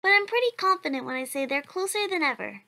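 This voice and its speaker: A woman's voice: sits high, lively intonation, fast, vocal fry, full character voice. A woman voicing a cheerful, earnest child who answers questions for a blog in a comic dub. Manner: bright, chatty, earnest.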